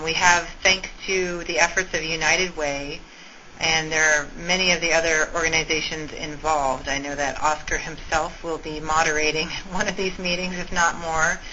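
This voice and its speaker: A woman's voice: low-pitched, pushed and bright. A woman giving an informal, methodical step-by-step briefing over a conference call. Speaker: school meetings organizer briefing a conference call. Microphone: telephone. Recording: muffled conference phone line, faint noise.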